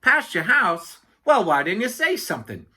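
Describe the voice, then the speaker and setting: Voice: mid-range voice, huge pitch swings, normal speed, pushed and bright, pitched above the performer's own voice. A storybook reader voicing a chatty, self-important yak taxi driver who rambles indignantly and obliviously. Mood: breezy, oblivious. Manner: rambling, indignant, never lets others speak.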